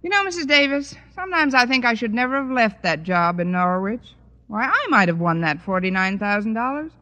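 A woman's voice: on the high side, big pitch swings, a little fast, bright, forceful tone, dull audio. An actress in an old-time radio comedy playing a wisecracking working woman with a dry, sardonic delivery. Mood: wry, weary. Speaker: lead actress in a radio sitcom. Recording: vintage radio comedy recording.

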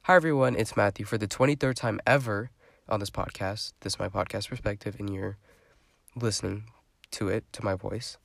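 A man's voice: fairly low pitch, steady tone, brisk, gravelly, dry recording, clean studio sound. A young man hosting his own podcast, talking in a rambling, chatty way with a stuffy, congested voice. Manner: rambling, chatty, lots of fillers. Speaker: podcast host. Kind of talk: casual solo podcast monologue.

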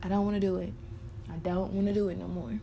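A woman's voice: mid-range voice, level tone, quick pace, gravelly, mellow, a little hiss or hum. A woman in her early twenties, talking candidly and frankly in a personal monologue, swearing freely and rambling with many fillers. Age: early 20s. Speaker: podcaster.

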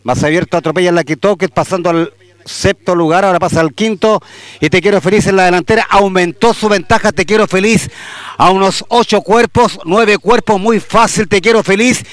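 A man with a Chilean accent calling horse races live, steady through the field and louder and higher at the finish. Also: brisk, no room sound. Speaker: horse racing announcer. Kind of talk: live race commentary.

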